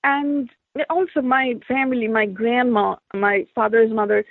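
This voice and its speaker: A woman's voice: a little high, fairly even intonation, a little slow, gravelly, no echo. A woman from India, an artist and teacher, telling stories from her life as an interview guest, with many "like" fillers. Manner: reflective, storytelling, frequent "like" fillers. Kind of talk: conversational interview answers. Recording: tinny phone-like line, dry.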